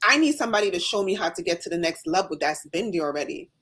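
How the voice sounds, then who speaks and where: A woman with a slight Jamaican accent, talking fast and with emphasis as she tells her own story in a casual live chat. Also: lowish voice, sing-song, a bit bright, slightly rough. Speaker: nurse and business owner, guest.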